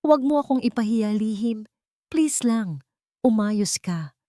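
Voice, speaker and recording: A woman voicing a smooth, soft-spoken and controlled husband in a romantic drama story. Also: on the high side, expressive, measured pace, no room sound, studio quality, pitched above the performer's own voice. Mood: cold, threatening. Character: smooth young husband, gentle in public, coldly threatening privately.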